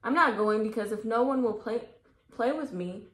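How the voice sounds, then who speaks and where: A woman's voice: a little high, moderate pace, a bit bright, roomy sound, voice pushed up, close to an everyday voice. A woman voicing a small, anxious but friendly girl in a children's storybook, earnest and questioning. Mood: worried.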